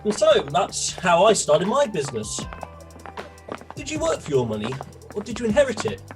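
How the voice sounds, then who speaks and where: A tactless self-made businessman acted by a man in an audio drama. His voice is high and bouncy, brash in delivery, with music playing clearly underneath. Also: wildly swooping pitch, normal speed, rough recording. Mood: nosy.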